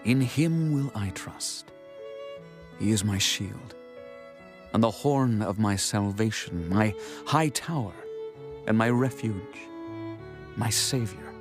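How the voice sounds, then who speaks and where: An actor playing a devout biblical king, reciting scripture in a solemn, measured and reverent manner. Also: medium pitch, darkish tone. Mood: reverent praise. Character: King David, devout warrior king reciting a psalm of praise. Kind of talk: dramatized scripture reading.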